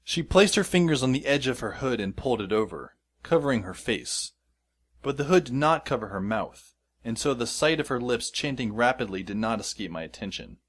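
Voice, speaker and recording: A man's voice: mid-range voice, animated, brisk, close to an everyday voice. A man playing a wry, observant teenage schoolboy who narrates an audio drama in a wordy, descriptive way. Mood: wry, intent. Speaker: first-person narrator. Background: music behind the voice.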